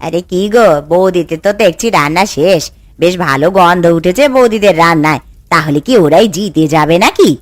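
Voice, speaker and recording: A woman's voice: fairly low pitch, moderate pace, dry recording, natural voice. A woman voicing an envious, conniving village wife in a cartoon, prodding and anxious. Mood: envious worry. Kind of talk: cartoon voice acting.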